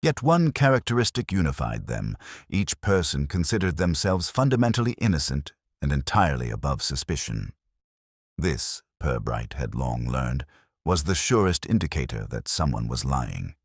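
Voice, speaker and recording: A man narrating a scripted mystery story in a measured, literary way. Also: a bass voice, sing-song, breathy edge, dry recording, clean studio sound.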